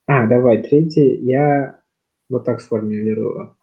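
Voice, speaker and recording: A man's voice: mid-range voice, takes it slowly. A young man from Kazakhstan leading a casual discussion, talking in a rambling way with lots of fillers and restarts. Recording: slightly dull lo-fi sound.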